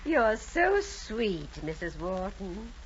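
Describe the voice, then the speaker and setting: Timid gossipy lady, hesitant, slow, fluttery, vintage radio drama, muffled hissy sound. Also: mid-range voice, big pitch swings. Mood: flustered, grateful. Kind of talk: old-time radio drama acting. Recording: dull, noisy vintage radio recording.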